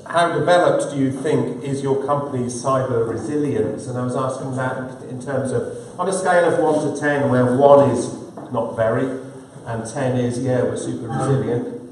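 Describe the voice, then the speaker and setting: A man's voice: mid-range voice, moderate pace, few pauses, a little mellow, slight background noise. A man moderating a live conference session, speaking loosely with frequent hesitations. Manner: loose, hesitant with many fillers. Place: large conference hall. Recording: echoey hall, distant mic.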